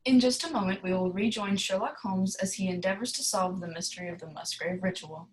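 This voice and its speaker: A woman's voice: medium pitch, fairly quick, close to an everyday voice, poor audio. A woman playing an upbeat radio announcer in an old-style commercial break. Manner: upbeat sales patter.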